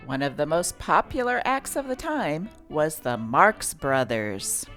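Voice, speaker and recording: Low-voiced woman narrator, lively intonation. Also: a little slow, soft background music. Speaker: host reading old newspapers. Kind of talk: scripted narration and newspaper reading.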